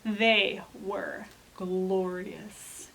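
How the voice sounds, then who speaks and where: A woman reading a children's picture book dramatically, expressive and suspenseful, stretching out key words for emphasis. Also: medium pitch, drawn-out words, vocal fry, a little hiss or hum. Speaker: storytime reader.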